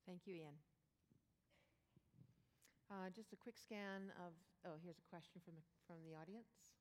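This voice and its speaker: A woman moderating a town hall in a brisk way, handing over to speakers. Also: fairly low pitch, a little hiss or hum. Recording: distant in a reverberant hall.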